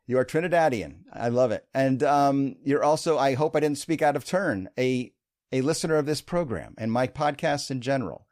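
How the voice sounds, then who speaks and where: A man's voice: mid-range voice, lively intonation, normal speed, a little mellow, no room sound, clean studio sound. Upbeat, chatty podcast host man. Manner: upbeat, chatty, laughs easily. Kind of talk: podcast interview.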